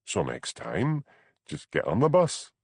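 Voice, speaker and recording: A man reading an audiobook, voicing a calm, plain-spoken village policeman with a Scottish Highland accent. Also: measured pace, vocal fry, breathy edge, no room sound, full character voice.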